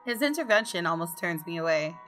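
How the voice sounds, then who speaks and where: Bright-voiced woman narrating a game expressively. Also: on the low side, expressive, quick pace, soft background music. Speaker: gaming YouTuber. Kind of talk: reading visual novel aloud with casual commentary.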